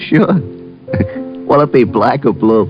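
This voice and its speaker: A man's voice: medium pitch, takes it slowly, natural voice. A man playing a slangy, chummy, slightly dim bartender who loves his own jokes, in old-time radio style. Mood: chummy, jokey. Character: chummy, dim, joke-loving male city bartender. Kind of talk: old-time radio comic character acting. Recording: dull lo-fi old radio, music behind.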